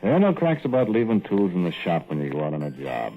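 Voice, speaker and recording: Casting: deep, lively-voiced folksy old plumber telling a yarn; tinny vintage radio broadcast. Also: male voice, quick pace, faint music behind, heavily acted. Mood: reminiscing. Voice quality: folksy, rambling, colloquial. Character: folksy older ex-plumber reminiscing, talkative man. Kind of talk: 1940s radio drama acting.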